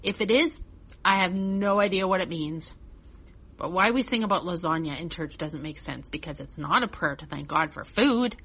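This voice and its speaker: A woman voicing a chatty, earnest six-year-old boy telling a children's story, childlike and expressive. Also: lowish voice, moderate pace, husky, dull audio, heavily acted. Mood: puzzled, candid. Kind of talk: first-person children's story reading.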